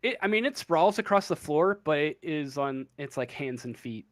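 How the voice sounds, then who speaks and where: A man narrating an improvised tabletop game as game master, haltingly searching for his words. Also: a little high, animated, no echo, clean studio sound.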